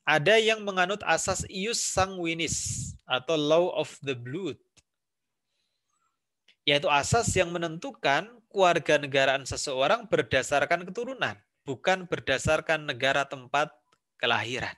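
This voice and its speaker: A man's voice: a little high, expressive, a little slow, sharp tone. A man lecturing on civics with an Indonesian accent, explaining step by step with examples and repeating key points. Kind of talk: recorded university lecture.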